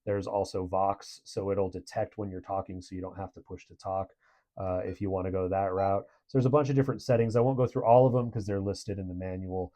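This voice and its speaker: A man's voice: sits low, fairly even intonation, brisk, mellow. A man giving a casual product review in a conversational, approving way.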